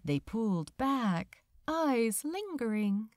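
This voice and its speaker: A woman narrates fiction dramatically. Her delivery is slow and measured, with lively rises and falls in pitch, and the recording is close and dry. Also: dry recording. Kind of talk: dramatic scripted story narration.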